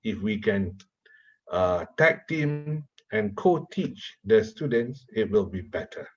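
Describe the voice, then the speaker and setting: A man from Malaysia whose first language is Malay, giving a slow, deliberate university lecture and putting questions to his audience. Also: fairly low pitch, very animated, breathy edge, highs cut off. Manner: slow, deliberate, repeats words while asking the audience questions.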